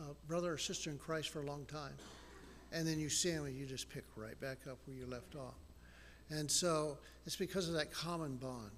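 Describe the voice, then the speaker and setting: A pastor speaks earnestly to his congregation as he introduces a guest. His voice sits a little high. The church hall adds long reverb and a faint hum of room noise. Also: fairly bright tone. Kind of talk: sermon introduction.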